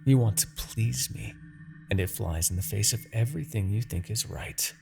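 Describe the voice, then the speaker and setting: Man acting a haughty, gravelly demon king. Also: lowish voice, music in the background. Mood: persuasive, menacing. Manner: theatrical, taunting, drawn-out dramatic delivery. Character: haughty male demon king, seductive, menacing, obsessive villain. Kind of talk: scripted fantasy ASMR roleplay acting.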